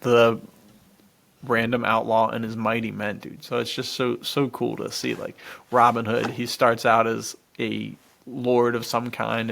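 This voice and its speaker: A man co-hosting a podcast, chatting casually and excitably in slang, often breaking into a laugh. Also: medium pitch, creaky. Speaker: podcast co-host. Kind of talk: casual enthusiastic chat about adventure fiction.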